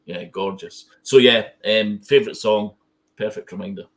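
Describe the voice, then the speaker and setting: A man speaks in a reflective, hesitant way, restarting his sentences as he works his thoughts out. His voice sits on the low side and keeps a plain conversational tone. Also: moderate pace. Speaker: guest fan. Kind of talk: casual conversation.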